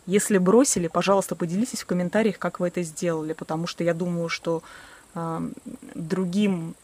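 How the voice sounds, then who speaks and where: A woman's voice: on the low side, steady tone, fairly quick, a little mellow. A woman in her early forties talking casually and candidly to a camera on a selfie stick, outdoors in a garden, with a few self-mocking laughs. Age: 40s. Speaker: vlogger. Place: outdoors by a wooden shed in a garden. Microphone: selfie stick camera at arm's length.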